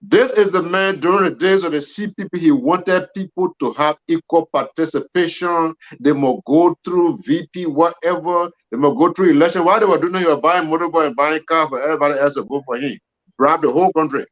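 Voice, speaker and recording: A man's voice: fairly high pitch, brisk. A man in his forties arguing emphatically in a political debate, accusing opponents. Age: 40s. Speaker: panelist. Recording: dull lo-fi audio.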